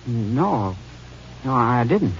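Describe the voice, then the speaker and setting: A man's voice: medium pitch, very animated, drawn-out words, heavily acted. An actor playing a nervous, defensive young man under suspicion in an old radio drama, speaking in a jumpy, defensive way. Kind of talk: 1950s radio drama acting. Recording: muffled old radio broadcast with hiss.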